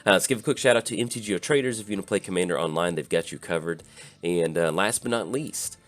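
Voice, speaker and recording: A tired man with a slightly raspy voice, hosting and casually commenting on his own card game as he plays, thinking aloud with lots of pauses. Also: low-pitched, a bit bright. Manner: easygoing, thinks aloud with many filler pauses. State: tired from little sleep. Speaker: channel host.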